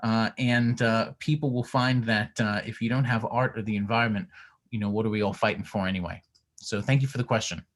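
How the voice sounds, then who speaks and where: A man's voice: on the low side, fairly even intonation, fairly quick. A man heading an environmental group, answering an audience question on a video call with earnest, filler-heavy speech. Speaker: organization head presenting.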